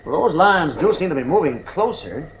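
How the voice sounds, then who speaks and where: An actor playing an irritable, stubborn father and husband in a radio drama, with a gruff, commanding manner. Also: on the high side, very animated, moderate pace, a little hiss or hum, low-quality recording. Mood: uneasy wonder.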